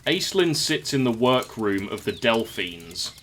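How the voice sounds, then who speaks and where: A man reads scripted story narration for an audio drama. His voice sits at a medium pitch, with quiet music running underneath. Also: big pitch swings, a little slow.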